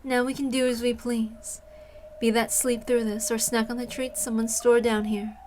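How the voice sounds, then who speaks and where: A woman voicing a deadpan, world-weary young girl who is never fazed, in an amateur story reading. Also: on the high side, moderate pace, slight background noise, lightly acted. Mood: calm, unfazed. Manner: deadpan, unfazed.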